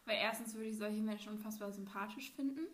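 A woman's voice: medium pitch, quick pace. A teenage girl co-hosting a podcast in casual chat, enthusiastic and hesitant, with many fillers. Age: teens. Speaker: podcast co-host.

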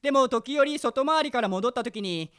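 A man's voice: very high voice, expressive, moderate pace, fairly bright tone, clear, resonant tone. A man playing a timid, agreeable young office subordinate in a comic radio drama, deferential and conversational. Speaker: voice actor.

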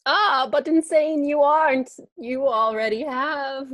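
A woman's voice: high-pitched, fairly even intonation, slow pace, highs cut off. A woman voicing a scheming, smug middle-aged witch mother in an improvised audio comedy. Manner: smug, teasing.

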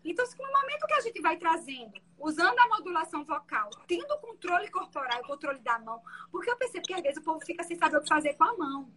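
A woman defense lawyer with a soft voice, explaining her courtroom methods in an interview. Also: very high-pitched, very animated, fast talker, hardly any pauses.

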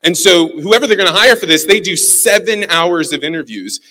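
A man from Missouri with a Midwestern American accent, giving a guest talk to a live audience and telling stories in an animated, self-deprecating way. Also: sits high, fairly quick. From St. Louis, Missouri. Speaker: guest speaker, communication consultant. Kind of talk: storytelling keynote talk. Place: large hall with a live audience. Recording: long hall reverb.

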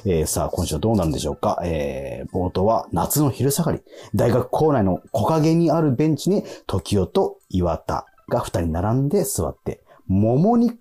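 A man's voice: lowish voice, very animated, normal speed, hardly any pauses, dark tone, slightly rough, faint music behind. A man co-hosting a radio show, reading out mail and chatting casually plenty of small interjections. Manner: chatty, frequent backchannels. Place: remote recording from home.

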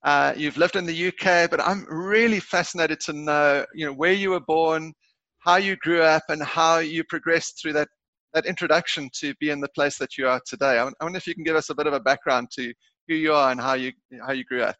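A man's voice: fairly high pitch, steady tone, fairly quick, sharp tone, dry recording, dull audio. A man hosting a podcast, reading a guest introduction and asking questions. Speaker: podcast host.

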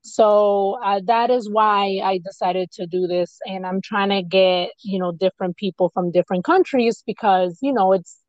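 A woman with an American accent speaks as a podcast host. Her voice sits at a middle pitch for a woman and is recorded dry, with very little room sound. The delivery is informal and conversational. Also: moderate pace, few pauses, darkish tone, no room sound, dull audio. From United States. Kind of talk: casual interview chat.

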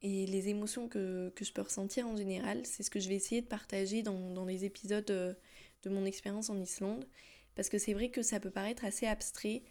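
A woman's voice: medium pitch, fairly even intonation, brisk, warm tone. A young woman from France hosting her own podcast, talking through her thoughts with many fillers and restarts. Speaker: podcast host. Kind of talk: solo podcast talk.

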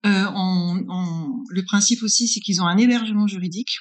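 A woman's voice: medium pitch, normal speed. A woman in her forties from northern France, answering interview questions as a consultant, explaining conversationally and checking in as she goes. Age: 40s. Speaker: interviewed guest, business incubator consultant.

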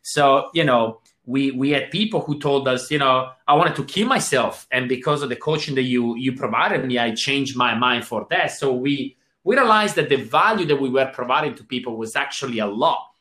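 A man's voice: medium pitch, lively intonation, normal speed, few pauses, bright, forceful tone, roomy sound. A man with a Swiss accent answering interview questions as a business coach, earnest and explanatory. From Switzerland.

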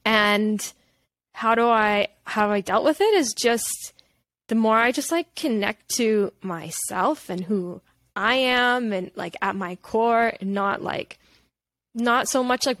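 A woman's voice: medium pitch, fairly bright tone, dry recording. Woman, podcast guest, candid, self-reflective, rambling casual talk, dry close recording. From Canada. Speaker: podcast guest, holistic nutritionist. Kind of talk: casual conversational interview.

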